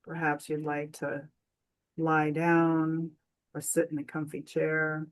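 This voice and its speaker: Meditation guide: woman, lowish voice, very slow delivery, gentle and soothing, generous pauses. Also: completely flat delivery.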